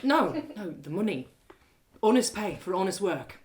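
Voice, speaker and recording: A woman's voice: medium pitch, big pitch swings, a little slow, slight background noise. Woman acting out a rueful, glum young cobbler. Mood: kindly. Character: glum young male cobbler, wounded but kind. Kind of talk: storybook audio drama character.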